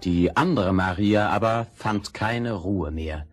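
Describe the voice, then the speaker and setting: A man narrating a fairy tale in an expressive storytelling style. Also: on the low side, slow. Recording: old audio play with music.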